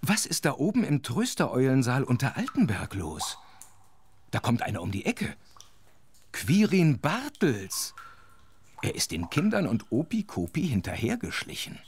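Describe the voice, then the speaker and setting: An actor playing a wry, chatty storyteller who narrates a children's audio drama with playful asides. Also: male voice, mid-range voice, huge pitch swings, unhurried, a little hiss or hum. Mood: wry, animated.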